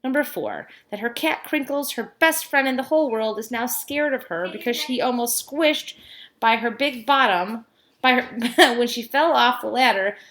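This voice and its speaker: A woman reading a children's story aloud like a teacher, with expressive emphasis on key words and casual asides. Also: on the high side, moderate pace.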